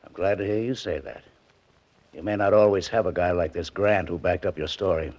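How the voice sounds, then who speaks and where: An actor playing a gruff, matter-of-fact homicide lieutenant in a 1940s radio drama. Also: male voice, low-pitched, a little fast, a little mellow. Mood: gruff, advising. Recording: dull vintage 1940s radio recording.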